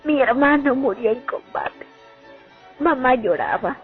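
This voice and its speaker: A woman's voice: high voice, expressive, unhurried. A woman playing a haunted young Soviet sniper remembering the war in a radio drama monologue. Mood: grieving, tense. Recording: tinny phone-like audio, music underneath.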